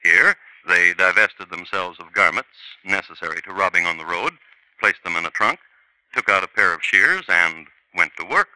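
A man's voice: sits low, lively intonation, moderate pace, pushed and bright, dry recording, rough recording. A man narrating a 1950s radio crime drama in a dry, ironic storytelling manner. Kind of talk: wry scripted radio narration. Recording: vintage radio broadcast with music.

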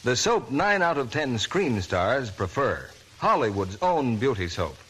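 A male announcer reading a 1940s radio commercial in a smooth, persuasive way. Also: medium pitch, sing-song, unhurried, a bit bright. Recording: old noisy radio broadcast.

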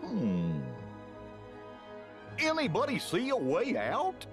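Wildly swooping goofy cartoon dog. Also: male voice, sits high, wildly swooping pitch, slow pace, over music, heavily acted. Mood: amiable. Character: tall, goofy, good-natured cartoon dog. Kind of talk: video game cutscene acting.

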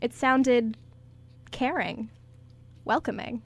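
A woman's voice: on the high side, takes it slowly, gravelly. A woman reading scripted story narration as the narrator of a radio play.

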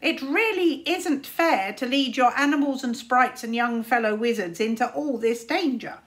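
A woman's voice: on the high side, normal speed, a bit bright, lightly acted. A woman voicing a cautious talking raven in a storybook, grave and admonishing. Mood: worried, scolding. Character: worried, cautious talking raven minding a reckless boy.

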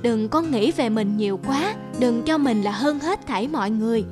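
A woman playing a patient, caring young mother in a cartoon, soothing and gently instructive. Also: a little high, lively intonation, normal speed, music in the background, natural voice. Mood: gently earnest. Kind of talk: cartoon voice acting.

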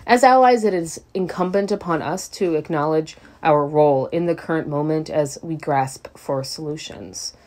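A woman giving a scripted sermon reading, measured and deliberate, with emphatic pauses. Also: low-pitched, darkish tone. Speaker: service speaker.

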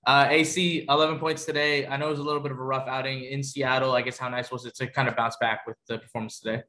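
A man's voice: mid-range voice, little pitch movement, quick pace, sharp tone. A man working as a sports reporter, asking casual, somewhat hesitant questions at a press conference.

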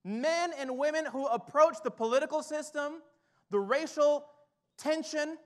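A male pastor in his thirties preaches from a church stage through a headset mic, with a high, light voice. He moves from hushed, reflective passages up to urgent, rising exhortation. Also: a little slow. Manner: builds from quiet to fired-up exhortation. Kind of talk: sermon. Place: church stage with drum kit, indoors.

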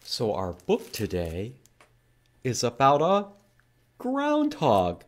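Slow, animated storytime man. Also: medium pitch. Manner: animated, addressing young children. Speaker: children's library storytime host. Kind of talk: children's storytime read-aloud. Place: indoors in a library room.

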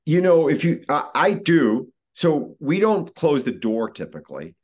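A man's voice: fairly high pitch, big pitch swings, unhurried, darkish tone. A middle-aged man with an American accent giving an informal, explanatory talk on a video call, conversational and repeating phrases for emphasis. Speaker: guest expert giving a presentation. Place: indoor room, video call. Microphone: video call microphone.

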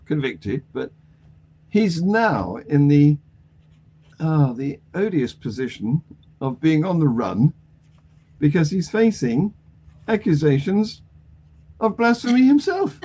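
Slow, animated older man hosting. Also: fairly high pitch, breathy edge, rough recording. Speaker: panel show host. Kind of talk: hosting a panel discussion of news. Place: home attic room on a video call.

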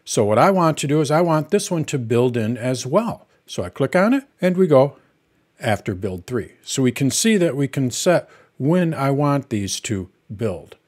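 A middle-aged man hosting a software tutorial, walking through each step in a plain, patient way as he works on screen. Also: mid-range voice, very animated, moderate pace.

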